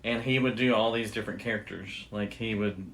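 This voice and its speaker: A man's voice: on the low side, fairly even intonation, brisk, a bit bright, a little hiss or hum, rough recording. Man chatting as an enthusiastic show host. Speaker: podcast host. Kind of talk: casual chat about radio history.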